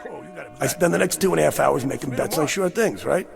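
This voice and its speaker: Brash, cajoling gambler, high gravelly voice, slangy radio drama delivery. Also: male voice, lively intonation, quick pace, music in the background. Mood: cocky, scheming. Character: brash, fast-talking gambler, agitated man out of his time. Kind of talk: radio drama acting and first-person narration.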